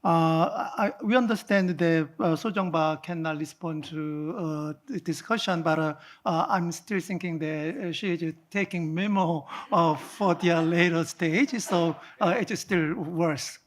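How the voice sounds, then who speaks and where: A moderator introducing speakers at a conference panel in a halting way. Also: medium pitch. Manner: halting, filler-heavy. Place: conference hall.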